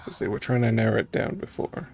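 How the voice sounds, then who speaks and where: A man's voice: fairly low pitch, quick pace, vocal fry, darkish tone, soft background music. A man giving casual commentary as he plays a story game and reacts to it. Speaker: streamer playing and reacting to a game.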